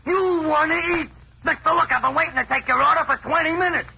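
Grumpy, very high creaky-voiced waiter. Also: male voice, fast talker. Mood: impatient. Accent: American. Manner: brusque, put-upon. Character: grumpy, impatient, chatty male waiter. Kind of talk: 1940s radio comedy acting. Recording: dull, hissy 1940s radio broadcast.

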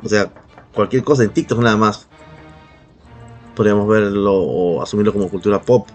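A man's voice: on the low side, steady tone, moderate pace, darkish tone, soft background music. A man hosting a podcast in casual, humorous chat, with an irreverent, profane way of talking. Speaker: podcast host.

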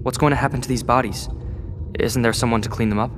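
A man plays an earnest teenage boy in a sci-fi audio drama, questioning and defiant. The voice is mid-pitched for a male, set in long reverb with faint noise. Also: steady tone, fast talker, close to an everyday voice. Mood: puzzled.

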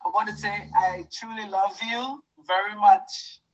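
A woman from Guyana giving a halting, emotional testimony to her church. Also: medium pitch, steady tone, recorded from a distance, dull audio. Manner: hesitant, halting, with fillers.

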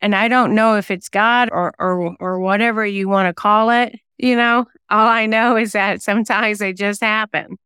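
A woman's voice: mid-range voice, fairly even intonation, moderate pace, hardly any pauses, sharp tone, smooth, no echo. A woman talking reflectively as an interview guest, thoughtfully telling personal anecdotes about creative work. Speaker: singer-songwriter and novelist guest; former radio DJ.

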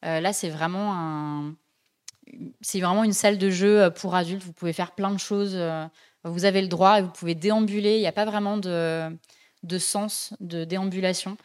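A woman's voice: on the low side, steady tone, moderate pace, a little mellow, smooth. A young woman hosting a casual podcast, talking chattily with many hesitations and fillers. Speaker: podcast host.

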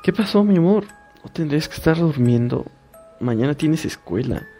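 A man's voice: a little high, expressive, normal speed, mellow, soft background music, natural voice. A man voicing a worried mother who speaks firmly and soothingly to her daughter in a horror story. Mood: puzzled. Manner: soothing, firm, reassuring. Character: worried middle-aged mother, calming.

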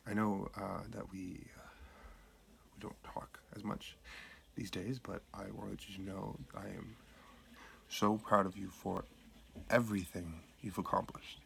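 An actor playing an awkward, tender middle-aged father who speaks haltingly and earnestly in a radio play. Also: sits low, little pitch movement, vocal fry, fairly bright tone, a little hiss or hum. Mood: awkward, proud. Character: awkward, tender, apologetic father of grown children. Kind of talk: radio play acting, heartfelt spoken message.

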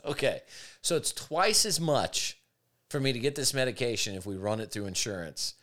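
A man with a raspy voice, hosting a podcast with opinionated, emphatic casual talk. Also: mid-range voice, animated, moderate pace, a bit bright. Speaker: podcast host.

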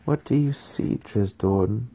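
A man voicing a young female dark elf who asks in a soft, probing, intent way, in a fantasy audiobook. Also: fairly low pitch, a little slow, voice pushed up. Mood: hushed curiosity. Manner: hushed, probing. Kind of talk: dramatic fantasy audiobook acting.

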